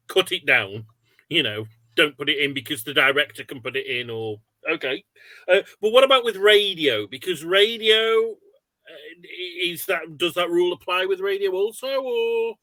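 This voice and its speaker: A man hosts an informal interview in a bright, slightly high voice with very little room sound. He puts questions plainly and checks what he has understood by offering examples. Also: lively intonation, unhurried, no echo. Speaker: webinar host, interviewer.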